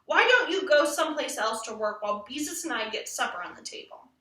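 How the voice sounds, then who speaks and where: A woman voicing a brisk, busy, exasperated mother in a children's story, speaking directly. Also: high voice, animated, pushed and bright, roomy sound, recorded from a distance.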